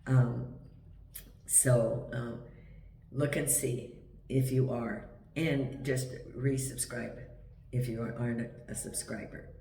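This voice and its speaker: Croaky man reading tarot. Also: medium pitch, level tone, slow pace, mellow, far from the mic. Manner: chatty, meandering, with many ums. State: croaky from a cold. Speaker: tarot reader. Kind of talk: casual tarot reading to camera. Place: home in Portugal. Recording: echoey room, voice set back from the mic, faint hiss.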